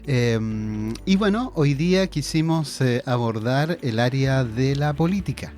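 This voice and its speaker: A man hosting a local radio interview, speaking in a hesitant way with many pauses and restarts. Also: medium pitch, lively intonation, a little mellow, faint music behind. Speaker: radio host. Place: radio studio.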